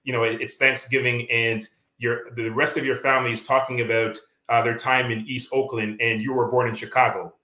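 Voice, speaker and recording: Earnest Canadian man, bright echoey voice. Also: medium pitch, little pitch movement, a little fast, hardly any pauses. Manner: earnest, many uh fillers and restarts. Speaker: author guest. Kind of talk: reflective interview talk.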